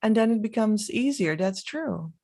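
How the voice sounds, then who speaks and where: A woman from the Netherlands, a native Dutch speaker with a Dutch accent, giving a reflective, unscripted vlog monologue with halting, trailing phrases. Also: medium pitch, lively intonation, warm tone. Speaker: spiritual vlogger.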